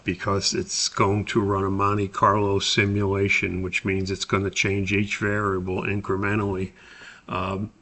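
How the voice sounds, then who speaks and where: A man giving a methodical, step-by-step software tutorial as an instructor, explaining in a somewhat hesitant way. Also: lowish voice.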